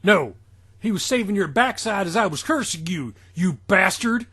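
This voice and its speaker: A man's voice: sits high, expressive, normal speed, fairly bright tone, slightly rough, pitched above the performer's own voice, full character voice. A man voicing an irritable, scheming professor villain from a wrestling parody story, with a taunting, barking delivery. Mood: irritated.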